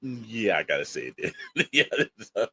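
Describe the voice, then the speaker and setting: A man's voice: on the low side, big pitch swings, normal speed, bright, forceful tone, a little hiss or hum. An American man co-hosting a podcast in casual, rambling talk peppered with verbal tags like "you know". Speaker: podcast co-host.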